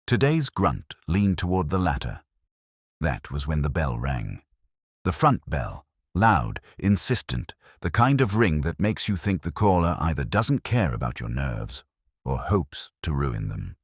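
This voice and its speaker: A man with an extremely deep voice narrates a scripted mystery. His pitch moves in lively swings as he tells it with dramatic, wry timing. The sound is dry and close. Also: measured pace, husky, no room sound. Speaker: narrator and host of a mystery story channel. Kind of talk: scripted narration of a detective story.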